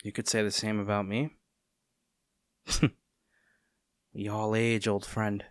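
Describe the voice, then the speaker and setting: A man playing a smug, taunting agent facing a former childhood friend, speaking in a measured, theatrical way in a scripted audio roleplay. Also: lowish voice, monotone, smooth, dry recording, studio quality. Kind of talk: dramatic scripted roleplay monologue.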